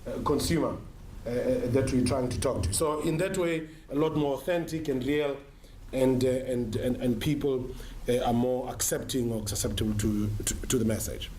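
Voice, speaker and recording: A South African man giving a business conference presentation in a measured, emphatic way, pausing between short phrases. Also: medium pitch, mellow. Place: conference room with an audience. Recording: roomy, echoing sound with faint noise.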